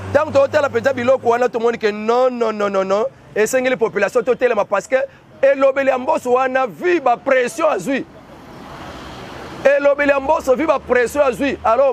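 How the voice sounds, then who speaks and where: Congolese guy ranting about politics, really high voice, pushy and urgent. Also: a little hiss or hum. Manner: urgent, exhorting, mixes two languages. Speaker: political commentator. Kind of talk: impassioned political commentary.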